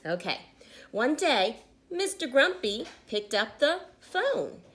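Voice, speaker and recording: Woman, children's read-aloud, teacherly, unhurried, highly varied pitch, interactive pauses. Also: sing-song, a bit bright. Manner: deliberate, pausing for listeners to join in.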